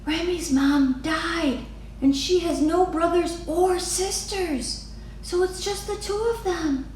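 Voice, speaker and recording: A woman's voice: extremely high pitch, takes it slowly, darkish tone, voice pushed up. A woman voicing an earnest, wistful little girl from a storybook, with eager, wondering phrasing. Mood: hushed. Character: earnest, wistful little girl who loves her mother. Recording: echoey room, distant mic, faint noise.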